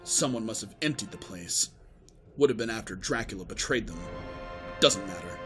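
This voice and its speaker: Guy as a brooding villain in an audio drama, evasive n portentous, animated pitch. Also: moderate pace, music in the background, lightly acted. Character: cryptic, brooding villain with grand plans.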